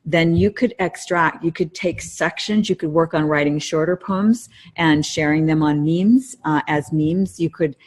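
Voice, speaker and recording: A woman's voice: sits low, unhurried, hardly any pauses. A middle-aged woman co-hosting a podcast, giving casual conversational advice in a reflective, explanatory way. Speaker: podcast co-host.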